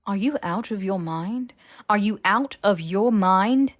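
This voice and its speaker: A woman voicing a clever, anxious young woman in an audiobook, brisk and incredulous as she lectures a friend. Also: medium pitch, expressive, lightly acted. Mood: incredulous, scolding. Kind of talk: dramatized audiobook dialogue.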